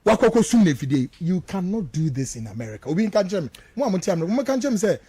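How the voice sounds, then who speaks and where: A Ghanaian man with a high voice and very lively intonation gives impassioned political commentary in a dry, close studio sound. His native Twi colours his speech, and he hammers points home with repeated rhetorical questions. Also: racing, husky, no room sound.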